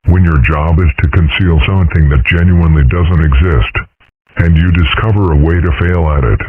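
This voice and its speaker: Man doing a very deep, stern dragon father. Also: fairly even intonation, slightly rough, dry recording. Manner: measured, formal reproach. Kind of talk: fan comic dub. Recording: phone-like thin sound.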